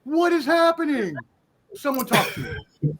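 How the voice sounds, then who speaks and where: High-voiced man ranting in exasperation. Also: sing-song, measured pace, a bit bright. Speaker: podcast guest. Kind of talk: casual chat.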